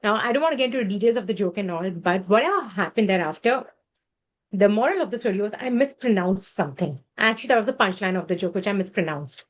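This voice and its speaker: A woman in her thirties from Gujarat, India, speaking at a middle pitch. She tells a personal story to an online group, chatty and quick to correct herself. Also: animated.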